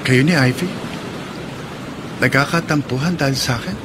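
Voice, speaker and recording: An actor playing a gentle, eager young suitor in a radio drama. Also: male voice, mid-range voice, moderate pace, noisy background, natural voice. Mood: hopeful, pressing. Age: young adult. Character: eager young suitor, polite call center worker. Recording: radio drama with clear background ambience.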